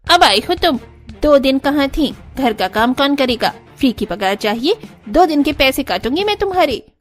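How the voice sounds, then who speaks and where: A woman's voice: high-pitched, lively intonation, moderate pace, soft background music. A woman playing a stern, scolding mistress of a rich house in a Hindi cartoon story. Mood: angry scolding. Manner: sharp, bossy, scolding.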